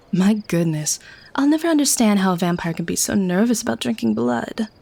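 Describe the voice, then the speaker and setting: A woman acts as a cynical vampire lady who runs her mortals like a warden. She is teasing, nonchalant and a little haughty, speaking at a middling pitch in an intimate ASMR roleplay manner. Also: animated, normal speed, creaky, dark tone. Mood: smug, matter-of-fact. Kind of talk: ASMR audio roleplay acting.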